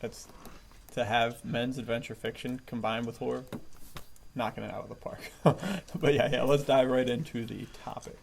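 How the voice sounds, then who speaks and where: A man's voice: mid-range voice, moderate pace, vocal fry. A man hosting a podcast in casual, chummy chat, enthusiastic and often chuckling. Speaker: podcast host. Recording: faint background noise.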